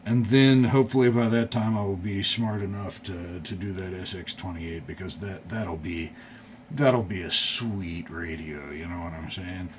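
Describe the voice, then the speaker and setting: A man's voice: deep voice, normal speed. A man giving casual, unscripted workbench commentary as a hobby video host, thinking aloud in a folksy, tentative, rambling way. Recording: clearly audible background noise.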